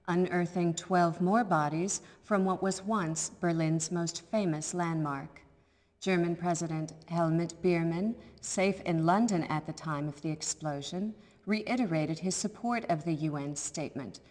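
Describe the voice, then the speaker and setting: An actress playing a serious television news anchor in a video game, reading a scripted report in a formal, measured way. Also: female voice, on the low side, a little mellow, close to an everyday voice. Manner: formal, measured newsreader delivery. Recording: long hall-like reverb.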